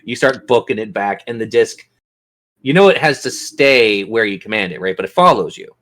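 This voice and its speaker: A man's voice: on the low side, animated, normal speed, a bit bright. A man acting as game master, narrating and refereeing a tabletop fantasy game in colloquial language and speaking directly to his players.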